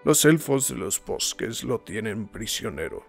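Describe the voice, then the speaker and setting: A man voicing a wise old wizard in a fantasy film dub, solemn and deliberate. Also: on the low side, lively intonation, gravelly, soft background music. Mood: grave, compassionate.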